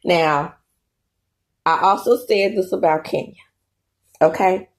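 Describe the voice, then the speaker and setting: Woman host, casual opinion commentary, slightly low pitch, emphatic and repetitive. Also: slow. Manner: chatty, emphatic, repeats "okay?" often.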